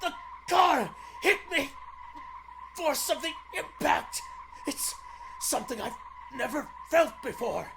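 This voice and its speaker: A man's voice: very high voice, very animated, takes it slowly, creaky, pauses often, background noise, voice pushed up, heavily acted. A man playing a bold, earnest superhero thinking aloud, declamatory and melodramatic in 1940s radio serial style. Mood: straining, alarmed.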